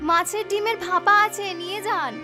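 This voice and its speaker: A woman's voice: extremely high pitch, steady tone, moderate pace, pushed and bright, soft background music. A woman voicing a scheming, wary food seller's wife in a cartoon, urging and wheedling. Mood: urging, sly. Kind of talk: cartoon dialogue.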